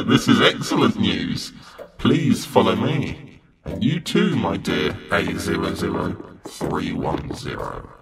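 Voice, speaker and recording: A man's voice: deep voice, very animated, slow pace, vocal fry, heavy background noise, exaggerated voice. A man playing a cruel, gloating creature of limbo in an audio horror drama, with a menacing, mocking and theatrical manner. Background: loud dramatic music.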